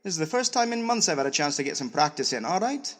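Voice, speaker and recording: A man acting as a young Scottish sidekick in an audio drama. He rattles along quickly with lively swings of pitch, blunt, grumbling and ready to bicker. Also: long reverb tail. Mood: indignant. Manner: blunt, indignant banter. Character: feisty, grumbling young Scottish male adventurer.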